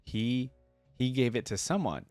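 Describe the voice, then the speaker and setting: A man reading the part of a serene, steadfast woman spiritual leader in a storybook tale, placid and measured. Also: mid-range voice, sing-song, a little mellow, no room sound, faint music behind. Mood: gentle.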